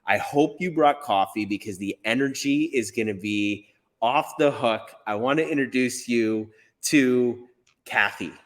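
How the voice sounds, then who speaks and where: A man's voice: mid-range voice, measured pace, long reverb tail. A man hosting a casual interview, enthusiastic and often repeating words as he introduces his guest. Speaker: host, recruiter.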